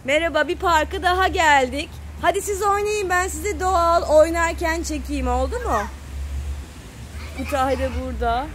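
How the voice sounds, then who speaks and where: A woman's voice: very high-pitched, moderate pace, slight background noise. A woman chatting casually to the camera and calling out to children in a sing-song way, recorded on a handheld phone at an outdoor playground. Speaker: parent filming a family vlog.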